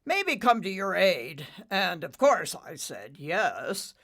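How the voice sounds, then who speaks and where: Brief: smug booming old storm-cloud dad, woman reader. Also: very animated, takes it slowly, fairly bright tone, heavily acted.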